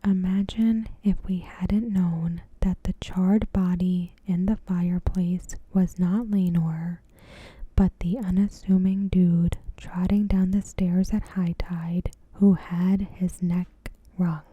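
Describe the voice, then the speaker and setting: A woman doing ASMR commentary in a breathy, hushed whisper, measured and deliberate, close to the microphone. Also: fairly low pitch, level tone, no room sound, phone-quality sound. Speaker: ASMR creator reviewing a TV episode. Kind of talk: ASMR, soft scripted commentary. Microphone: close microphone in a dry space.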